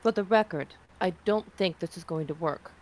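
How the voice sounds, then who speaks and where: A woman's voice: on the low side, moderate pace, a little mellow, lightly acted. A woman reading as a firm young rebel captain. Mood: firm, frank. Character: skeptical young female alien rebel captain. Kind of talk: sci-fi audio drama table read.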